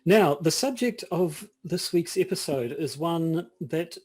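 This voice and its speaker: A man's voice: on the high side, lively intonation, takes it slowly, darkish tone, slightly rough. A middle-aged man with a New Zealand accent, co-hosting a podcast in casual chat, often restarting his sentences and repeating words. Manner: hesitant, many false starts and repeated words. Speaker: podcast co-host.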